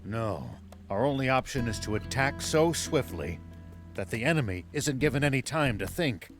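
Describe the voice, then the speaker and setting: A man playing a seasoned older military commander in a video game, serious, decisive and deliberate. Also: medium pitch, expressive, over music, lightly acted. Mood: grave, deliberate. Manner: authoritative, deliberate. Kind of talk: video game cutscene acting.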